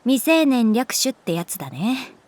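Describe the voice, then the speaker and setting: A woman voicing a calm, resigned, gently protective art teacher in a Japanese audio drama, speaking in a soft, measured, wistful way. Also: a little high. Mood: firm, resigned. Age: adult. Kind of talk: Japanese audio drama acting, intimate dialogue.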